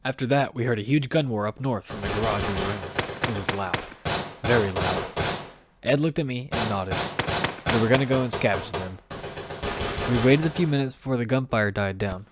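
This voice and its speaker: A man's voice: fairly low pitch, moderate pace, heavy background noise, close to an everyday voice. A man playing a hot-tempered father who narrates his own battle-royale survival story in an amateur audio drama. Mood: grim, measured. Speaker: narrator and lead actor. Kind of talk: first-person narration and dialogue in amateur audio fiction. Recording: background music under the voice.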